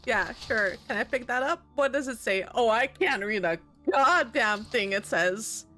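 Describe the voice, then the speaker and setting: Animated high-pitched woman gaming out loud. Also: measured pace, soft background music. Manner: expressive, sweary, reacting out loud. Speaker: video game streamer. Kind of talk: horror game playthrough commentary, reading game text aloud.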